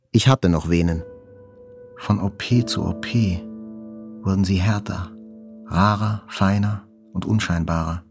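A man's voice: on the low side, vocal fry, a little mellow, husky, exaggerated voice. An actor playing a wounded male journalist who recalls his hospital ordeal in reflective, first-person literary narration.

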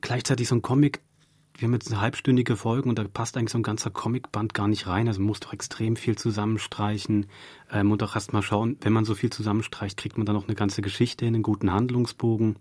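A man with a husky, lowish voice explains his craft informally as an interviewed radio director. The recording is close and very dry. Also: little pitch movement, fast, darkish tone, no echo. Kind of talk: studio interview.

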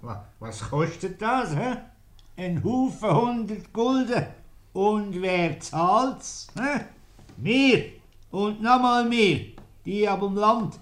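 Querulous male farmer, forever aggrieved, notably high-pitched, in vintage radio comedy. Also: expressive, takes it slowly, a bit bright, heavily acted. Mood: indignant grumbling. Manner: complaining, querulous. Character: grumbling, aggrieved male peasant from the countryside. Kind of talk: 1950s radio comedy acting.

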